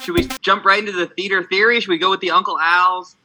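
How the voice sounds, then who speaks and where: Male host, high register, casual banter with ring-announcer flourishes, music bed underneath. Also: steady tone, fast talker. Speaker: podcast host. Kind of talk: casual banter with showman-like introductions.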